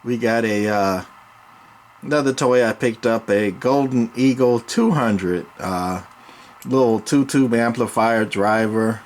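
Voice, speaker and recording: A man giving a casual, hesitant equipment demonstration as a hobbyist presenter, often pausing with ums. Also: mid-range voice. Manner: hesitant, halting delivery with frequent ums and pauses. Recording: noticeable background noise.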